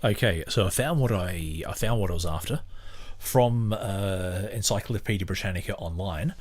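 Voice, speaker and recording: Low-voiced man, halting sardonic podcast commentary. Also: faint music behind. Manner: halting, sardonic, mock-surprised at times. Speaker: podcast host. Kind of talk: casual rambling commentary on a book read aloud.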